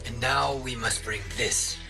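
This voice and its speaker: Noble mid-pitched warrior over music. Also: male voice, fairly even intonation, measured pace, vocal fry. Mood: calm, earnest. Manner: formal, courteous. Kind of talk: video game cinematic voice acting.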